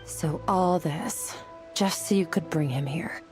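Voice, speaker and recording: A stern young swordswoman with a low, chilly voice, every word measured and cutting. She sounds ruthlessly rational and unmoved. A soft musical score plays under her lines. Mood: bitter. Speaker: game character. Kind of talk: dubbed game cutscene acting. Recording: game dialogue over music.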